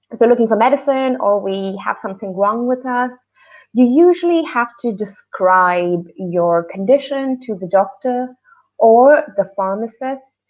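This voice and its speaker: Female webinar teacher from Pakistan, medium pitch, informal and a little hesitant, lo-fi call sound. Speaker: English teacher co-hosting a webinar. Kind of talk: online lesson, informal explaining. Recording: dull, lo-fi online call audio.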